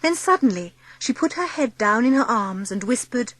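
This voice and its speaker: A woman's voice: on the high side, animated, normal speed, a bit bright, no room sound. A woman reading scripted storybook narration as the narrator of an audiobook.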